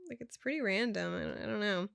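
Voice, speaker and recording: A young woman talks through what she reads in a loose, chatty way. She stumbles over words and drops casual asides as she goes. Her voice sits at an ordinary middle pitch, and the sound is dry and close. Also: quick pace, vocal fry, a bit bright, clear, resonant tone, no room sound. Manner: stumbling read-aloud with casual asides and laughs. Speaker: vlogger. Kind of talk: casual chat reading results aloud.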